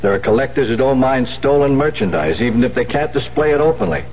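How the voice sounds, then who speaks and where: An actor playing a brusque, wary American police lieutenant in a 1970s radio drama, questioning in a clipped, commanding way. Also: male voice, mid-range voice, expressive, quick pace, darkish tone, natural voice. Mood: confiding, businesslike. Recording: muffled, hissy 1970s radio recording with hum.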